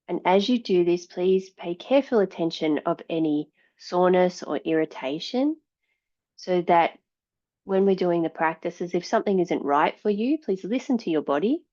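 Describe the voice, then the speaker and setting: A woman yoga teacher giving guided meditation and yoga instruction in a calm, measured, soothing way. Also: fairly low pitch, lo-fi sound.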